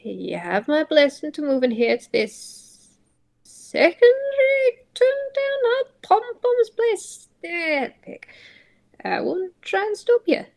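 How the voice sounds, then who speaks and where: A woman voicing a doting, theatrical cartoon toon parent with a halting, emotional delivery. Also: extremely high pitch, sing-song, gravelly, darkish tone, pitched above the performer's own voice, exaggerated voice. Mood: tearful, resigned.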